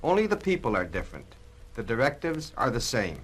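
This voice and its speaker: Male narrator, animated intonation, medium pitch, emphatic, admonishing, oratorical, scripted 1960s documentary style. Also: fairly quick, husky.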